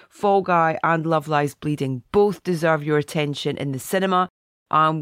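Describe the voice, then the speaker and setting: A middle-aged woman hosting a film podcast, chatty and a bit croaky, reading out a listener's email. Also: deep voice, dry recording, studio quality. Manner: chatty, warm asides, reads listener email. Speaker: podcast host. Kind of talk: casual podcast chat.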